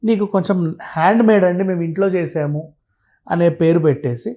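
A man's voice: high voice, moderate pace, warm tone. A man with a Telugu accent talking casually and explaining things through everyday examples and lists, mixing in English words.

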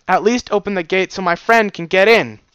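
Man as a desperate dog creature, raised urgent voice, smooth tone, storybook reading. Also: sits high, steady tone, moderate pace, fairly bright tone, no room sound, voice pushed up. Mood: exasperated. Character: impatient male diamond dog creature, desperate.

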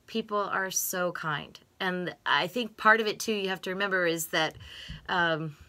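Expressive, bright-voiced American woman. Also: fairly low pitch, moderate pace. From United States. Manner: expressive, gestures with hands, emphatic repetitions. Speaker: interviewee, co-host. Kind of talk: casual conversation. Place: small home room with bookshelves, indoors. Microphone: desk microphone on stand.

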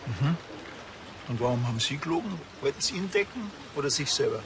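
An actor playing a laconic, dryly sarcastic police officer with a Bavarian accent in a television crime comedy. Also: male voice, mid-range voice, fairly quick, noisy background, natural voice. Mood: unruffled. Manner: dry, deadpan.

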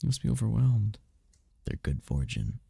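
A man voicing a seductive, possessive demon prince in an ASMR roleplay, with a husky, gravelly voice and a regal, flirtatious manner. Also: on the low side, wildly swooping pitch, dark tone, no room sound, studio quality, heavily acted. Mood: tender, possessive. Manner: regal, slow-savouring, flirtatious.

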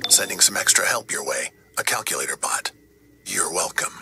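A man's voice: low-pitched, animated, normal speed, creaky, pushed and bright, slight background noise, heavily acted. A man playing a dry, offhand, tech-savvy schemer in a video game. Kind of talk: video game character acting.

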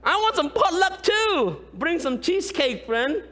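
A man in his thirties, a pastor from Cambodia with a Khmer accent, preaching a lively sermon and often asking the congregation "right?". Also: very high voice, measured pace. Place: large church sanctuary, indoors. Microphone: far camera, room pickup. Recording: long church hall reverb.

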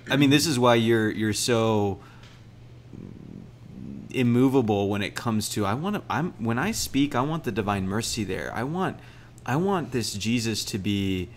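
A man's voice: medium pitch, lively intonation, slight background noise. A man co-hosting a podcast, joking and speaking with frequent restarts in a casual discussion. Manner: warm joking banter, halting restarts. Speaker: podcast co-host.

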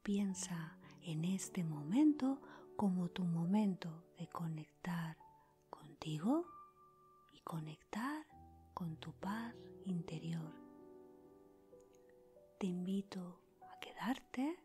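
A woman speaks in a soft, breathy whisper, low and very unhurried. She reads like a guide for sleep meditation, calm and soothing, with pauses between short phrases. Gentle music plays behind her. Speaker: host of a sleep meditation podcast.

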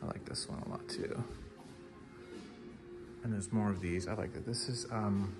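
A man giving low-key, casual commentary as an artist walking through an art gallery, often trailing into murmured asides. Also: steady tone, moderate pace, music in the background. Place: large indoor art gallery with hard floors.

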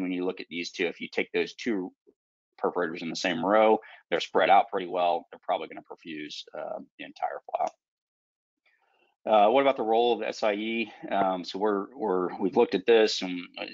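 A man's voice: low voice, vocal fry, dry recording. A middle-aged man giving a frank, conversational lecture as a surgeon, with plenty of fillers, over a video call from a room at home. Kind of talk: recorded lecture with slides. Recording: dull lo-fi video call audio.

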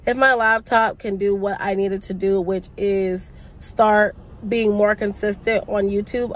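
A woman's voice: mid-range voice, slight background noise. A young woman with a congested, sick-sounding voice, chatting to her phone camera from inside a parked car in a rambling, casual vlog. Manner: chatty, rambling, lively slang. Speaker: vlogger. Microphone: phone camera at arm's length.

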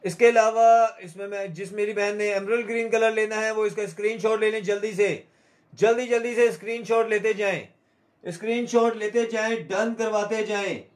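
A man's voice: high-pitched, fairly even intonation, normal speed. A man with a Pakistani accent hosting a live shopping show, selling in a repetitive, urging salesman's manner.

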